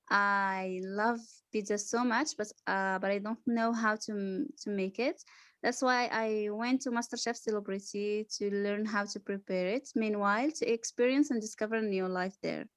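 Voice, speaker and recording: Soft, hesitant woman reading on a call. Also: mid-range voice, steady tone, normal speed, no room sound. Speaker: student. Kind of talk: reading her own writing aloud. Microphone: video call.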